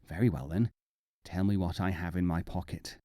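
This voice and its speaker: A man's voice: low-pitched, fairly even intonation, normal speed, warm tone, no echo, clean studio sound, pitched below the performer's own voice, lightly acted. A man voicing a sly, teasing prankster in a storybook reading.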